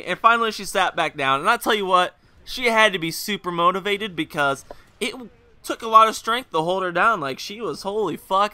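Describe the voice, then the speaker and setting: Bright, crisp-voiced young guy, hungover. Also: sits high, no room sound. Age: young adult. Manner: rambling, joking, full of fillers and asides. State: hungover, voice a bit rougher and lower than usual. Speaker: gaming video host. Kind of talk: casual storytelling over gameplay footage.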